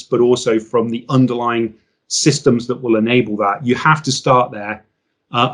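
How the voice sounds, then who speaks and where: A man speaking as an interview guest, explaining business matters in a measured, structured way. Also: medium pitch.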